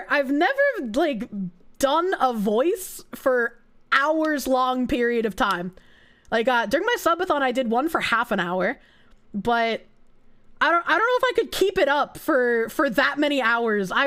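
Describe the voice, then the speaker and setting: Boston gal streaming and reading chat, high voice, swears a lot, laughs a ton, bit of a cold cough. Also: female voice, expressive, a little slow, fairly bright tone. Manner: laughs often, swears freely, rambles in tangents. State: getting over a cold, coughing. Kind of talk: casual chat, reading and answering viewer chat.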